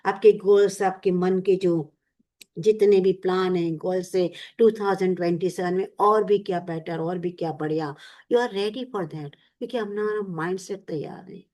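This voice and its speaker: Coaxing woman leading a visualization. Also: medium pitch. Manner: warm, coaxing, repeats "yaar" often. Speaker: self-help teacher. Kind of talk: guided visualization talk.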